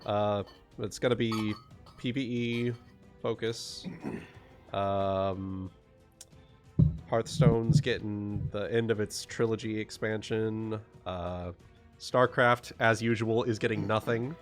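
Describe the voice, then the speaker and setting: Slow, halting man over background music. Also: fairly low pitch, steady tone. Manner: halting, sweary. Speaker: game master of an online tabletop game. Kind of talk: casual chat and off-the-cuff game recap. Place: online group voice call.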